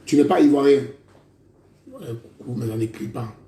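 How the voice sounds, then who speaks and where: A man's voice: medium pitch, moderate pace, warm tone. A man preaching a prophetic sermon, declaiming in repeated phrases and calling listeners brother. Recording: echoey room.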